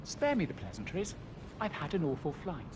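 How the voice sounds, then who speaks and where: A worn-out, irritable traveler who speaks curtly and complains freely. His voice swings widely in pitch, with loud game ambience behind it. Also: wildly swooping pitch, brisk. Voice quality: curt, complaining. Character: tired, irritated business traveler. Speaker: game character. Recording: game audio with noisy ambience.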